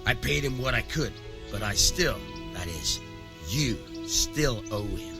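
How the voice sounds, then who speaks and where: An actor playing a shrewd, amoral village miller in a medieval video game, gruff, coarse and slyly persuasive. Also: male voice, lowish voice, animated, slow, over music. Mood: businesslike. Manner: sly, persuasive, coarse-tongued. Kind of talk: video game dialogue acting.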